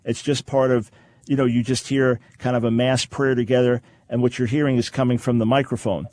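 A man hosts a call-in radio program from a studio, his voice at an ordinary middle pitch. He talks callers through his answers in a measured, explanatory way, repeating key phrases for emphasis. The recording is close and dry. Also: flat delivery, a little mellow, dry recording. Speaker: radio talk show host. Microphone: studio microphone.

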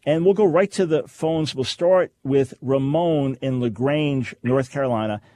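A man hosting a call-in radio show, talking in an animated, preacherly way and acting out quoted voices. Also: medium pitch, unhurried, no echo. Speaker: radio host. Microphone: studio microphone.

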